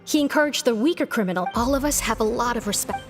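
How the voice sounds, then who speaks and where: A woman's voice: fairly high pitch, lively intonation, fast talker, over music. A woman playing a reflective, admiring prison inmate in a video game, explaining in a reverent way. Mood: thoughtful, admiring. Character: admiring, reflective female prisoner and network member. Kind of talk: anime video game dialogue.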